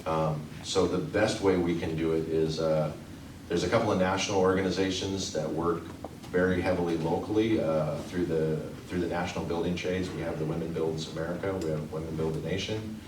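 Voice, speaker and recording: A man's voice: sits low, steady tone. A man from a trade union answering questions at a community meeting, talking earnestly and restarting his sentences often. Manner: earnest, halting, many restarts. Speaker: union representative. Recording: echoey room, distant mic, noise.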